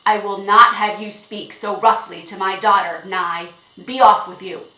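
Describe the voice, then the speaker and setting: Lady doing a middle-aged dad in an audiobook, firm n proper, takes his time, clear bright sound. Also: mid-range voice, echoey room, rough recording. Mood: firm, indignant. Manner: deliberate, formal, firm. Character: firm, principled, measured father, middle-aged gentleman. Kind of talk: audiobook character acting.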